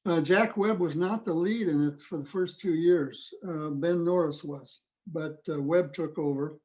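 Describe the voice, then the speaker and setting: A man's voice: fairly high pitch, a little slow, darkish tone. A man giving an informal talk as presenter at a club meeting over a video call. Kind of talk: informal talk with audio clips.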